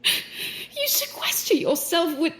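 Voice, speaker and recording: An actress playing a proud, wounded young bride in a gothic audio drama, speaking in a formal, solemn manner. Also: female voice, extremely high pitch, very animated, takes it slowly, sharp tone, full character voice. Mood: hurt, accusing.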